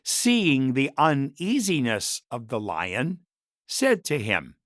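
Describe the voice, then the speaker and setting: Slow, expressive male storyteller. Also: medium pitch, dry recording, clean studio sound. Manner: measured, expressive reading. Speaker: narrator reading a story. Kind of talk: scripted storytelling narration.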